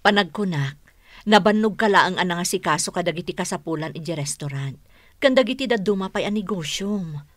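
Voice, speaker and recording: An actress with a Filipino accent playing a caring, fussing housemaid in a radio drama. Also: female voice, fairly low pitch, animated, brisk, no echo. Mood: concerned.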